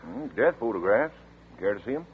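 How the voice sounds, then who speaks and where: An actor in a 1940s radio drama playing an efficient young sheriff who briefs in a brisk, matter-of-fact way, with a husky voice. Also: male voice, fairly low pitch, very animated, full character voice. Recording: tinny old radio broadcast.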